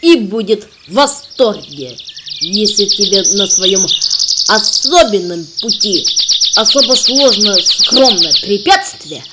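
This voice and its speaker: An earnest children's cartoon know-it-all with very lively intonation. The explanations are long-winded and halting, full of careful qualifications. Strong background noise of birdsong and clicking runs underneath. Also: female voice, mid-range voice. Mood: earnest, explaining.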